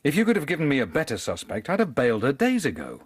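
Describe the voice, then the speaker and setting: Harassed policeman, widely swinging pitch. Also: huge pitch swings, quick pace, husky, dry recording, clean studio sound. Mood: defensive, pressured. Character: harassed male police inspector, defensive and weary. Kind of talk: radio drama acting.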